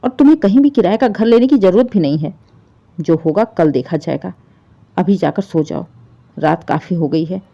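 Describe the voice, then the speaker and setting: A woman's voice: on the low side, expressive, normal speed, gravelly, mellow. A woman voicing an elderly widowed father in a moral story, wounded, proud and resolute, speaking with emphatic feeling. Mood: firm, reassuring. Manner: emphatic, emotional pleading and scolding. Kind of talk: dramatised Hindi moral story. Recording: dull, muffled lo-fi audio.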